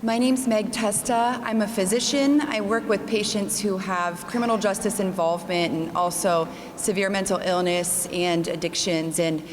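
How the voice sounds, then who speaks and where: A woman's voice: mid-range voice, fairly even intonation, normal speed, hardly any pauses. A woman physician giving a humble, earnest statement as a new commission member. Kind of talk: formal public statement. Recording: echoey hall with faint noise.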